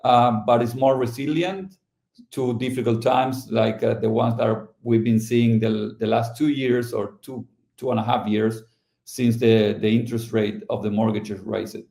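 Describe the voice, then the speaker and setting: A middle-aged man from Argentina, answering podcast interview questions about his company, halting and restarting often. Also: mid-range voice, steady tone, vocal fry, dark tone, echoey room. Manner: halting, with many restarts and fillers. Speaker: company founder and CEO.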